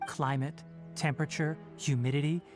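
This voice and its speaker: A man's voice: fairly high pitch, steady tone, moderate pace, darkish tone, faint music behind, natural voice. A man playing a composed, knowledgeable young forest ranger in a video game, explaining things precisely and articulately. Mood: calm, explanatory. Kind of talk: scripted video game dialogue.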